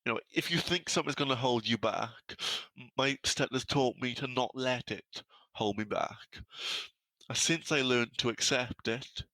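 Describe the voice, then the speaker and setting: Bright-voiced young Welshman who stammers. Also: mid-range voice, moderate pace, dry recording, rough recording. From South Wales. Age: young adult. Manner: stammers on some words, earnest and reflective. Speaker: guest. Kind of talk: podcast interview.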